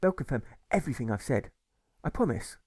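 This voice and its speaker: A man voicing a smooth-talking, scheming owl in an audiobook fable, persuasive and gloating. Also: medium pitch, very animated, fairly quick, a little mellow, no echo, clean studio sound. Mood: pleading innocence.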